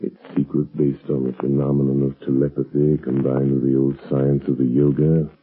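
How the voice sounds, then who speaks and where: An actor playing a suave, mysterious crime-fighter with a measured, portentous delivery in a 1930s radio drama. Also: male voice, very deep voice, completely flat delivery, slightly rough, faint music behind. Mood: grave, mysterious. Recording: muffled tinny 1930s radio broadcast recording.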